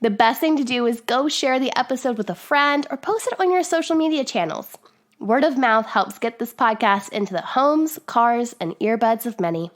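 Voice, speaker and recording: A bubbly woman hosting her podcast, her slightly high voice a little nasal from sinus congestion. She gushes with praise and laughs easily. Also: animated, moderate pace. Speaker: podcast host. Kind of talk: casual interview chat.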